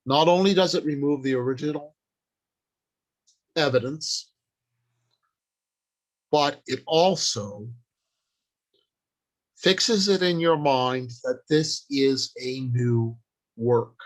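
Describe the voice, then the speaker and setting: Slow-paced older man giving a writing workshop. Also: medium pitch, poor audio. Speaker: workshop presenter. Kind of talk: informal instructional talk.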